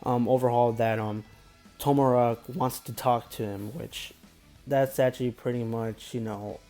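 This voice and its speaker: A man's voice: mid-range voice, fairly even intonation, measured pace, mellow, soft background music. A man giving a casual, unscripted episode recap as an anime reviewer, rambling with repeated filler phrases and stumbling over names.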